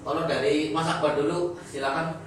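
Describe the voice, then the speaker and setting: A man's voice: on the high side, steady tone, normal speed. A young man moderating a casual group discussion, joking and prompting the others to speak. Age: young adult. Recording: echoey room, distant mic, faint noise.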